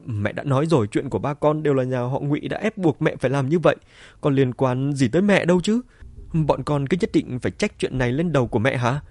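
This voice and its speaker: A man voicing a bitter, cruel middle-aged mother in an audiobook, shouting spitefully and defiantly. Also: medium pitch, very animated, quick pace, slightly rough, dry recording.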